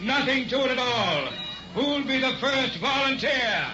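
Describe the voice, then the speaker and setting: A sinister carnival hypnotist. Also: male voice, very high voice, lively intonation, a little slow. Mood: showman pitch. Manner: theatrical barker, menacing. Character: sinister carnival hypnotist professor, smooth showman. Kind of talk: old-time radio drama acting. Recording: dull old radio recording with music.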